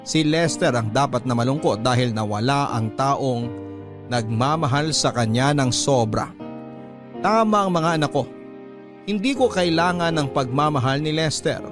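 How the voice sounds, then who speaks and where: A man's voice: mid-range voice, lively intonation, normal speed. A man narrating a dramatic first-person story as a radio host. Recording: music under the voice.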